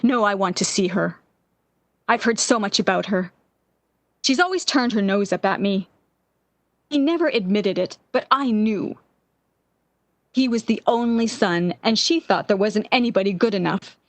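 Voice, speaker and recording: A woman's voice: medium pitch, moderate pace, pauses often, no echo, lightly acted. An actress playing a lovesick, obsessive jilted young woman in the impassioned style of 1940s radio drama. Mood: bitter, resentful. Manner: melodramatic, yearning, impassioned.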